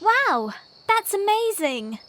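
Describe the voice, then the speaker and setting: Woman playing a high-voiced pegasus lieutenant. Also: big pitch swings, slow pace, fairly bright tone, in a large hall, full character voice. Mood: curious, friendly. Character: dutiful female pegasus lieutenant, chief of security. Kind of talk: fan-made radio drama acting.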